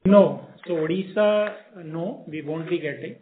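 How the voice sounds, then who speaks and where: A man's voice: on the high side, unhurried. An Indian-accented man, a company executive, explaining details on an earnings call. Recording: narrowband phone line with faint noise.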